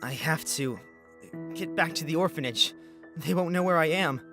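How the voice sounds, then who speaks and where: A woman's voice: low-pitched, normal speed, music in the background. A woman playing an earnest, bewildered young orphan, the hesitant hero of a fantasy visual novel. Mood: worried.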